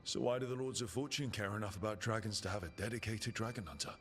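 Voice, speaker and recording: A man's voice: lowish voice, quick pace, close to an everyday voice. A man playing an easygoing, inquisitive adventurer in a video game, conversational and dryly playful. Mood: curious. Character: easygoing, curious male adventurer from an assassin guild. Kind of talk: video game dialogue acting. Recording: music under the dialogue. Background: game music.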